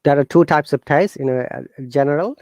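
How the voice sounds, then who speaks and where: Bangladeshi-accented man, earnest and halting. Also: fairly high pitch, no room sound. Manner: earnest, animated, halting with fillers and restarts. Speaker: guest teacher. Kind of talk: casual interview talk.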